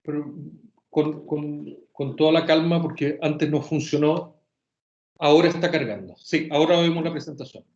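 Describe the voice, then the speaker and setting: A man moderating a seminar panel, thanking speakers in a measured, courteous way. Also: a little high, darkish tone. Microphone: video call. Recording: roomy lo-fi video call audio.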